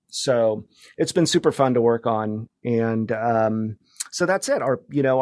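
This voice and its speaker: Male interview guest. Average pitch, informal and a little halting as he explains, very dry sound. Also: a little mellow, dry recording. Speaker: podcast guest, producer. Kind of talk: casual interview talk.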